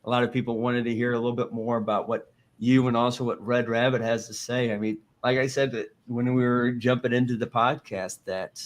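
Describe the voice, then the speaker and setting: A man's voice: mid-range voice, little pitch movement, fairly quick. A man hosting a casual online talk, upbeat and rambling, with frequent restarts. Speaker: host.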